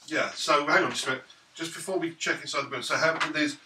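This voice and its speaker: A man's voice: fairly high pitch, fast. A man talking casually as a player in a tabletop role-playing game. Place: indoors around a gaming table. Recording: noisy echoing room, far from mic.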